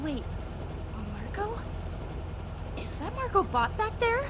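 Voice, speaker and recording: A woman's voice: very high voice, big pitch swings, slow pace, background noise, heavily acted. A woman playing an anxious, pleading young woman in an audio drama, protective and insistent. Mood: worried. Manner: frantic, insistent.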